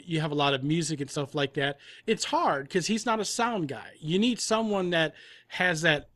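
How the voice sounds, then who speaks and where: A man's voice: a little high, a bit bright, no room sound. A man giving conversational interview answers as a guest producer, explaining things at length in rambling detail. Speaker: audio drama producer and composer, guest.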